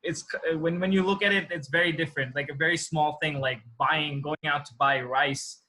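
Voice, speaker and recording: A man in his thirties from Assam with an Indian accent, casually telling anecdotes as an interview guest, often halting and rewording as he goes. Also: on the high side, fairly even intonation, bright, forceful tone, poor audio.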